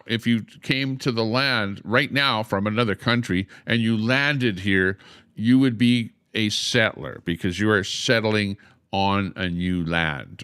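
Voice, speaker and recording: A man's voice: fairly low pitch, bright, forceful tone, clean tone, dry recording, clean studio sound. An older man hosting a livestream, explaining at length with frequent "uh"s and a repeated "okay?". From Canada. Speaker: livestream host. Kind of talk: casual livestream monologue.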